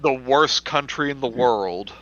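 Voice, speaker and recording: A man's voice: mid-range voice, a little slow, sharp tone. A man chatting casually and jokily as a co-commentator in a group, on a slightly dull, lo-fi microphone. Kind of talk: casual joking group chat.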